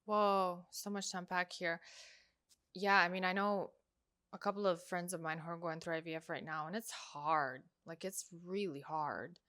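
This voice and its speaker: A chatty woman hosting her own podcast, her first language Russian. Her voice is bright and a little low, and she muses aloud, trailing off into fillers as she reacts to clips. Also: steady tone, normal speed. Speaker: podcast host. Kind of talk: casual reaction commentary.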